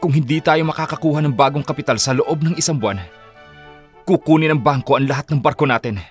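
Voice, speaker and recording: A man's voice: fairly high pitch, fast, faint music behind, close to an everyday voice. A man playing a desperate, guilty, scheming husband in an audiobook drama, speaking tensely and defensively. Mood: anxious.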